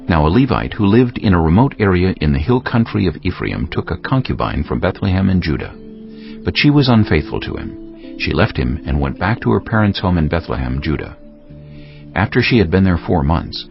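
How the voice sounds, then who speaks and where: A man narrating dramatized scripture as a solemn, deliberate storyteller. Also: low voice, gravelly. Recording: muffled audio with prominent music underneath. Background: music.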